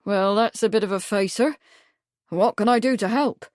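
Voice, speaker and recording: A woman voicing a calm, resigned male village constable who speaks steadily and firmly. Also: medium pitch, moderate pace, no room sound, studio quality, natural voice. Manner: steady, firm.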